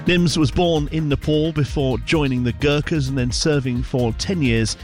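A man voices a scripted news report as the correspondent. His pitch is average for a man, and faint music sits under the narration. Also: normal speed, a little mellow. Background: music bed.

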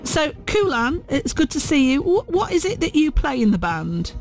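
Lively, chatty male radio interviewer. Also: very high voice, moderate pace, gravelly, soft background music. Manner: chatty and upbeat, with frequent restarts. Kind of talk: casual broadcast interview.

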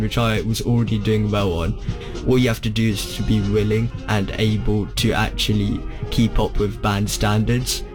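Male interviewee, band player, earnest delivery, lower register, music underneath. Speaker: young band member, saxophonist, interview guest.

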